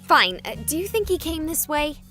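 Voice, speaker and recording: High bright sarcastic streamer girl, chatty, game dialogue. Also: sing-song, moderate pace, soft background music, exaggerated voice. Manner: sarcastic, chatty, addresses viewers. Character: young woman streamer, sarcastic and talkative.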